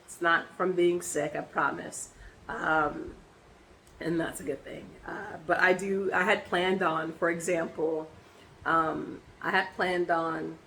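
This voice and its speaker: A woman with a hoarse voice from laryngitis, chatting casually in a personal vlog and rambling with many ums and restarts. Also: low-pitched, fairly bright tone, slight background noise, poor audio. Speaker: vlogger.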